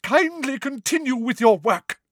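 A man's voice: very high voice, moderate pace, fairly bright tone, dry recording, studio quality, pitched above the performer's own voice. A man voicing a melodramatic, indignant woman divination teacher in a wavering, emotional voice for a storybook reading. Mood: shrill, furious. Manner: dramatic, wavering, throbbing with emotion.

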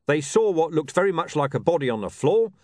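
Man acting a gruff, commanding police commander, pitched up. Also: very animated, brisk, darkish tone, no echo. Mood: exasperated. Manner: curt, blunt, swearing, suspicious.

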